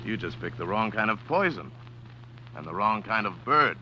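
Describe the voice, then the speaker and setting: A low, crisp, calm detective; heard slightly off-mic on old radio. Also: male voice, normal speed, soft background music, full character voice. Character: calm male police detective explaining the case. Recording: dull, distant old radio recording.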